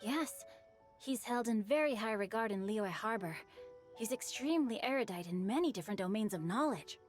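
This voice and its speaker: A woman's voice: on the high side, lively intonation, normal speed, soft background music, lightly acted. A woman playing a well-bred, bookish boy in a video game, speaking politely and formally. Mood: respectful. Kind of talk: animated video game voice acting.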